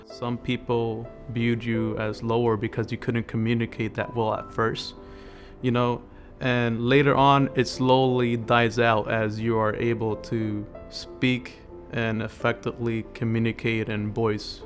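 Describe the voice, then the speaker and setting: A man from El Salvador, a student in an interview testimonial, speaking earnestly and in a measured way. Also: mid-range voice, level tone. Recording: soft music underneath. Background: background music.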